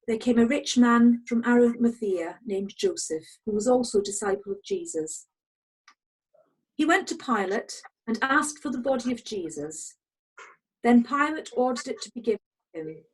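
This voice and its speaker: A woman with a husky voice, narrating a scripted dramatic scripture reading over a video call. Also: fairly high pitch, darkish tone, poor audio. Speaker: narrator in a congregation's Passion reading.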